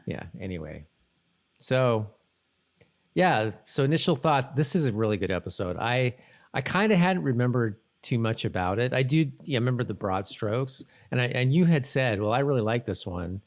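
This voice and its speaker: A man hosting a podcast in casual chat, talking in a halting, rambling way and often correcting himself. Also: medium pitch, expressive. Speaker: podcast host.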